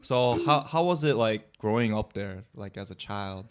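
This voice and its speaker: A man's voice: lowish voice, animated, normal speed, clean tone. Casual male podcast co-host asking questions. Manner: casual, asks follow-up questions. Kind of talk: casual chat.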